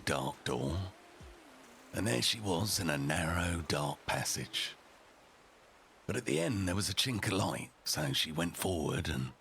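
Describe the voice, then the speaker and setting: A man voicing a rough and ready, foul-mouthed storyteller, narrating a storybook tale theatrically with deliberate, dramatic pauses. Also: extremely low pitch, a bit bright, heavily acted.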